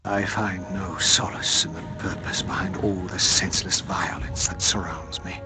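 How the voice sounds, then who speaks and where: An actor playing a despairing young male war journalist in a video game monologue, hushed, bleak and halting. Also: low voice, steady tone, over music, lightly acted. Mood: bleak, weary.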